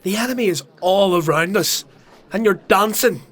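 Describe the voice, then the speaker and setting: Young male zealot, dark fantasy drama. Higher register, stammering, impassioned, guilt-wracked. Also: normal speed. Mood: furious preaching. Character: distraught young male zealot, fanatical, guilt-ridden, pleading.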